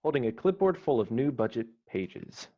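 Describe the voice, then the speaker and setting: A man's voice: medium pitch, expressive, normal speed. A man reading scripted stage directions as narrator for an online screenplay table read. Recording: dull lo-fi online call audio.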